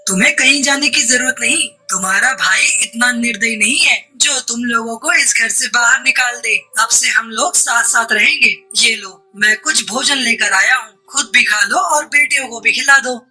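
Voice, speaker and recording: Woman voicing a husky, bossy male crow. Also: fairly high pitch, normal speed, few pauses, bright, forceful tone, exaggerated voice, low-quality recording. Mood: kindly. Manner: brusque, commanding. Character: gruff male crow, bossy house owner with a soft heart. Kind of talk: Hindi children's cartoon voice acting.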